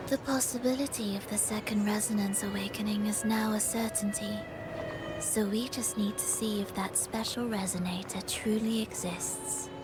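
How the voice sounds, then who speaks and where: A woman playing an aloof, scheming villainess in a video game, speaking in a soft, breathy, theatrical and coolly teasing way over music. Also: mid-range voice, steady tone, moderate pace, lightly acted. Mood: cool, ominous. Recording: loud music behind the voice. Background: loud game music.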